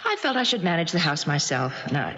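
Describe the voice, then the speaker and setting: A woman playing a composed, plotting, well-to-do housewife with measured, precise delivery in a 1970s radio drama. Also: fairly low pitch, big pitch swings, fairly bright tone. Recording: dull 1970s radio broadcast recording.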